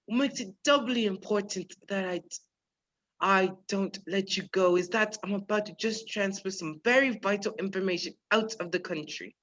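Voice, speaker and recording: A performer playing a polite, measured male professor who is secretly a menacing spy chief in a radio play. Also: medium pitch, fairly bright tone, natural voice. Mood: quietly menacing. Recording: dull lo-fi virtual recording.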